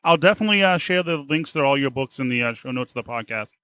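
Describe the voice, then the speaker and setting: A man with an American accent hosting a casual podcast interview, halting and rephrasing as he asks questions. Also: mid-range voice, animated, bright, forceful tone, clean tone, dry recording. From United States. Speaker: podcast host.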